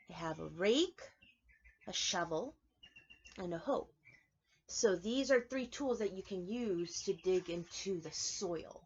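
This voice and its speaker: A woman preschool teacher reading aloud and explaining things to young children, clearly emphasizing key words in an instructive way. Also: mid-range voice, muffled recording, a little hiss or hum. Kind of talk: children's read-aloud lesson with explanations and questions.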